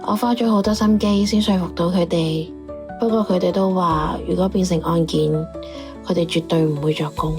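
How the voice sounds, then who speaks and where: A woman's voice: on the low side, fairly even intonation, normal speed, close to an everyday voice. World-weary woman informant over music, acted out. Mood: resigned. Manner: matter-of-fact, resigned. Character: world-weary female informant reporting on her investigation. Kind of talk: radio drama dialogue.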